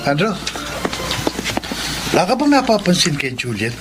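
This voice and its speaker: Radio drama mischievous male sidekick, animated high-ish pitch, music underneath. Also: moderate pace. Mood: teasing. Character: teasing, gossipy male workmate. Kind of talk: lively radio drama acting.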